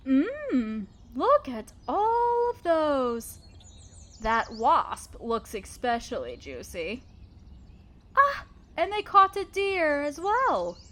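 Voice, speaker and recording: Girl voicing a sweet motherly spider queen for audio roleplay, kinda high voice, tender n patient, loving. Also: full character voice. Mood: pleased. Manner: tender, patient, softly explaining. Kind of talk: scripted audio roleplay, addressing the listener.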